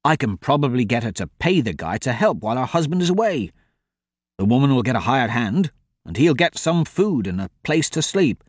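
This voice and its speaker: A cheerful young warrior, played by a man argues kindly and brightly, his voice rising and falling with lively swings. He sounds easygoing and sure things will work out. The recording is close and dry. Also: mid-range voice, normal speed, dry recording. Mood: easygoing upbeat. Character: good-natured, easygoing young male swordsman.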